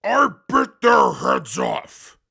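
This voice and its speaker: Gravelly, pompous mutant brute. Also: male voice, extremely high pitch, huge pitch swings, extremely slow, heavily acted. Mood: outraged. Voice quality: gravelly, forceful. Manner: formal, blustering, indignant speech. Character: big green indestructible mutant brute, pompous, short-tempered, hungry. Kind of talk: post-apocalyptic audio drama acting.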